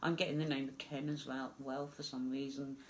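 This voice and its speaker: A woman's voice: a bass voice, level tone, a little fast, a little hiss or hum, poor audio. A woman with a stuffed-up voice from a flu, giving a chatty live psychic reading with many fillers. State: congested with flu. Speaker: psychic medium.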